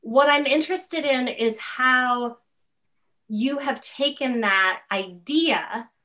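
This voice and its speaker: Halting woman host on a video call. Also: high-pitched, sharp tone. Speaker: interviewer and host. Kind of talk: casual video interview.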